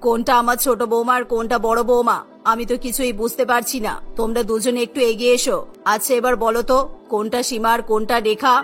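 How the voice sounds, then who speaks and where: A woman's voice: fairly high pitch, little pitch movement, a little fast, a bit bright, soft background music, pitched below the performer's own voice, lightly acted. A woman voicing a befuddled, fussy but kindly older mother-in-law. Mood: confused, fussing. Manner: puzzled, fussing.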